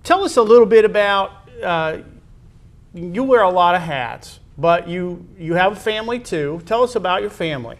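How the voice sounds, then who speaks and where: A man hosts a conversational interview as a school athletic director. His voice sits a little high for a man. He talks in a halting, wordy way, building up to each question. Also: lively intonation.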